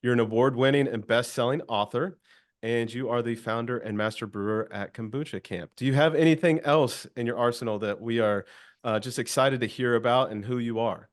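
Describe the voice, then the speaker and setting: A man's voice: mid-range voice, steady tone, brisk. A man hosting a casual interview, speaking in an upbeat, informal way with frequent repeated words. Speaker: interview host.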